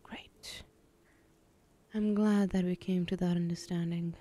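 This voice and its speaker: A woman's voice: on the low side, steady tone, slow, mellow. A woman playing a teasing, menacing female villain in an ASMR roleplay, whispering breathily with a taunting, condescending manner. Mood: cold, threatening.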